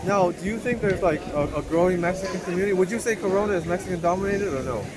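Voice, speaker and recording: A man running a casual street interview, asking short questions and nudging answers along with quick replies. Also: sits high. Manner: brief prompts and frequent backchannel replies. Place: outdoors on a city street. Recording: street noise behind.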